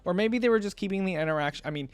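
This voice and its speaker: A man's voice: high voice, very fast, clean tone, no room sound. A man hosting a reaction video, commenting casually and slangily as he muses aloud into a close microphone. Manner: informal, slangy, musing aloud.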